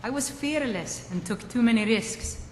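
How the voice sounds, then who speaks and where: A woman's voice: a little high, lively intonation, normal speed, close to an everyday voice. Lady doing a nostalgic ex-adventurer game character, bit boastful, roomy reverb, faint noise behind. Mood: nostalgic, proud. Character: proud retired adventurer woman reminiscing. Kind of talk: fantasy video game voice acting. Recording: echoey hall, background noise.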